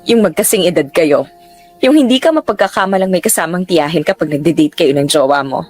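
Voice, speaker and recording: Woman acting a lovelorn older girlfriend, animated pitch. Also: brisk, natural voice. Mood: hurt, resigned. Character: lovelorn older girlfriend, hurt but gracious. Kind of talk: radio drama acting. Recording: music bed under voice.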